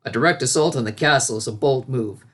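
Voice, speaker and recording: Soldierly unicorn palace guard, acted by a woman, lowered voice, resolute, storybook fantasy. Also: fast talker. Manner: formal, resolute.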